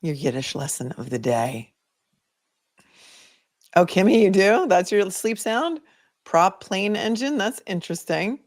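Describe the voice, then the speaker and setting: A woman's voice: mid-range voice, animated, a little slow, long pauses. A woman with a Boston accent hosting a legal livestream, chatting casually and thinking aloud as she answers viewer comments. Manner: conversational, opinionated, thinking aloud.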